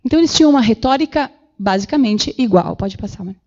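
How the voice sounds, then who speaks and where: Lively woman lecturing. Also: fairly high pitch, fast talker, dull audio. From Brazil. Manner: animated, acts out quoted voices, many fillers. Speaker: guest lecturer. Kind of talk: public lecture with slides. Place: city council chamber.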